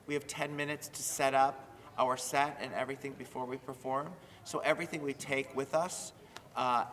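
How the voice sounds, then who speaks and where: Theater director guy being interviewed, bright forceful voice, echoey hall w some noise. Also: mid-range voice. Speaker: high school theater director. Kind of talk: interview remarks. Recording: reverberant hall with background noise.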